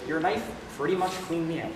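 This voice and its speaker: Male actor as gloomy teenage student, bitter, self-mocking, halting dry monologue, subdued, distant echoey hall, noisy recording. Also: fairly high pitch, animated, close to an everyday voice. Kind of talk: stage monologue.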